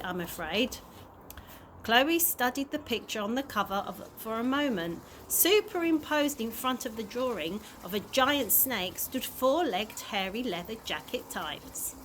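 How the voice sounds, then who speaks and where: Female storyteller for young listeners, highish and lively, homemade recording with light noise. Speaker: early years practitioner reading stories. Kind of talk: children's story read aloud. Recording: noisy amateur home recording.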